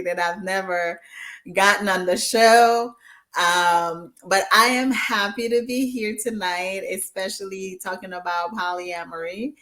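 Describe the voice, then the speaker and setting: A woman's voice: mid-range voice, fairly bright tone. A woman in her forties from Haiti, a guest chatting casually on an online show and moving between English and Haitian Creole with many ums. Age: 40s. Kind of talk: casual interview chat.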